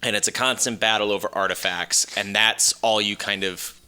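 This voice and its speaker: A man's voice: lowish voice, fairly even intonation, normal speed, pushed and bright, clear, resonant tone. A man hosting a casual podcast discussion of films and games, talking in an emphatic, opinionated way. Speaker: podcast host. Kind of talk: casual film and video game discussion.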